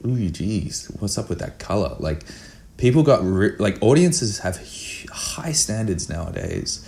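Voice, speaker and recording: A man with a low, deep voice talks as an interview guest. His delivery is casual and enthusiastic. Light background noise runs behind his voice. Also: gravelly, a little mellow. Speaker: guest filmmaker. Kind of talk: casual conversational interview answers.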